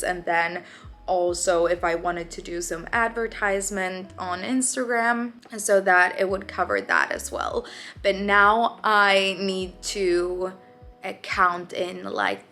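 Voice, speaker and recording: A woman's voice: medium pitch, a bit bright. Young Swedish-accented woman artist, non-native English, hesitant vlog chat, faint music underneath. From Sweden. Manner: hesitant, halting, searching for words. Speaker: artist vlogger. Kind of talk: casual talking-to-camera vlog. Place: home art studio, indoors.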